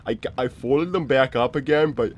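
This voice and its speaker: A man's voice: medium pitch, moderate pace, lo-fi sound, close to an everyday voice. A man playing an awkward, well-meaning cartoon walrus pal in an improvised livestream, hesitant and rambling as he stammers along. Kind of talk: improvised in-character livestream roleplay. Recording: clear background noise.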